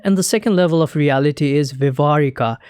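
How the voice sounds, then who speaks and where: A young man from Nepal whose first language is Nepali, giving a calm, earnest, scripted talk as a spiritual teacher. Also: fairly high pitch, clean tone, dry recording, studio quality. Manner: measured, earnest, explanatory.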